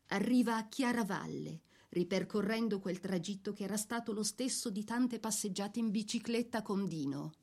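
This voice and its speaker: A woman reads a scripted life story for radio. Her voice sits at a middle pitch. She is solemn and measured, setting short phrases apart with deliberate pauses. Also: darkish tone. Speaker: narrator. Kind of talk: scripted dramatic biographical narration for radio.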